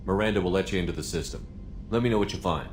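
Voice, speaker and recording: An actor playing a steady, no-nonsense male military starship commander in a video game, terse and firm. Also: low voice, very fast, faint music behind, lightly acted. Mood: businesslike.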